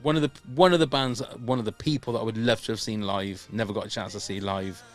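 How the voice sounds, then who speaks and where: A man's voice: fairly low pitch, fairly even intonation, fast, soft background music. A man hosting a podcast over a video call, chatty and self-deprecating, often laughing at himself. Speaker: podcast host. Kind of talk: casual podcast chat.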